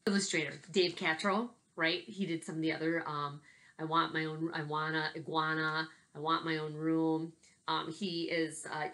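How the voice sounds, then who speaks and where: Female, 40s, US, slightly low register. Chatty, expressive elementary teacher for casual kids' read-aloud videos. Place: small bedroom at home, indoors. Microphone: laptop webcam at desk distance.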